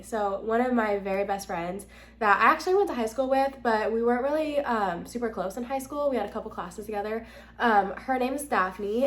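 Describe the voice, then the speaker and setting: A woman in her twenties with an American accent, chatting candidly and casually as a vlogger, with a nasal, slightly congested voice. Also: mid-range voice. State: slightly congested from being sick. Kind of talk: casual chat to camera.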